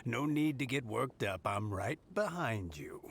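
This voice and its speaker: A man voicing a frail, kindly, good-humoured old grandfather in a video game, with a creaky, halting delivery. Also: mid-range voice, animated. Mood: amused, indulgent. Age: older.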